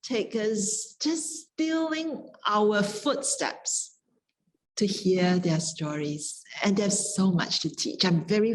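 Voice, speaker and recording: A woman speaking as a scholar on a panel, sharing poetic reflections in a contemplative, measured and earnest manner. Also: medium pitch, poor audio.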